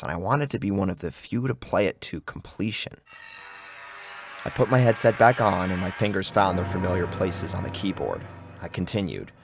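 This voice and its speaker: A man with a low voice narrates a horror story in the first person. His delivery is theatrical and very varied in pitch, set over clearly audible music. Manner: theatrical, acts out the tension of the story. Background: music under the narration.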